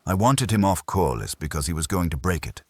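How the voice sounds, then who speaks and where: A man with a husky voice voicing a shrewd, unrepentant professional woman in an audiobook detective story, clipped and defiant. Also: low-pitched, big pitch swings, a little fast, darkish tone, dry recording, full character voice.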